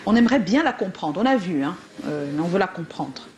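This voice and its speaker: A woman hosting a talk show, animated and laughing as she prompts her guest. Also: lowish voice, brisk, a little hiss or hum. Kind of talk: lively studio chat.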